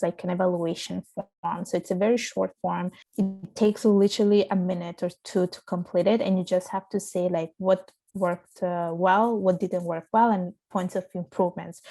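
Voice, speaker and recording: A woman with a soft, quiet voice giving conversational answers as an interview guest. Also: vocal fry. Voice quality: soft, low-volume voice.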